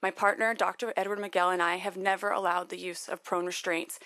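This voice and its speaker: Flat gravelly-voiced woman testifying. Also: lowish voice, brisk, no echo. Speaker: school principal and psychologist testifying. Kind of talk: formal testimony.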